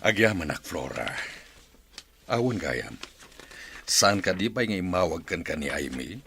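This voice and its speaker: A man's voice: deep voice, big pitch swings, a little slow, gravelly, fairly bright tone, a little hiss or hum, full character voice. A man acting a stern ranch boss and head of a household in an Ilocano radio drama, with an Ilocano accent. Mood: calmer.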